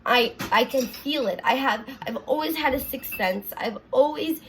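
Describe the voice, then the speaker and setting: Brief: raspy young woman, slightly high voice, earnest rambling confessional vlog, faint hiss behind. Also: normal speed, poor audio. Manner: earnest, rambling, many fillers and restarts. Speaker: vlogger. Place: her apartment.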